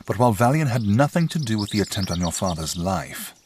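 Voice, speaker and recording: An actor playing a dutiful household servant in an audio drama, speaking in a formal, measured, drily roundabout way. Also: male voice, fairly low pitch, very animated, darkish tone. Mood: formal, dry. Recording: faint background noise with birdsong.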